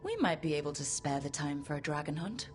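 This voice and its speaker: A woman's voice: sits low, sing-song, fairly quick, faint music behind. A woman playing a composed, decisive young adventurer who leads a team in a video game. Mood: wry, easygoing. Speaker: voice actor. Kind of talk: video game cutscene acting.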